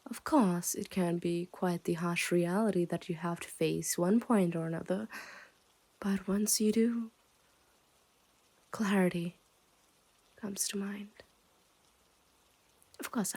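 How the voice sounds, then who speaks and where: A woman acts out a possessive yandere girl who has kidnapped her listener. She talks very slowly at a middle pitch, smug and teasing, often slipping into whispers. It is an intimate, condescending ASMR roleplay monologue. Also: a little mellow. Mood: possessive delight.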